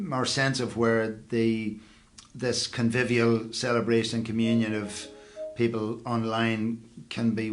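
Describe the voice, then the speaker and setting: A man with a deep bass voice talks in a relaxed, meandering way, pausing on fillers as he thinks aloud. He hosts an online singalong as casual chat. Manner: relaxed, rambling, thoughtful with fillers.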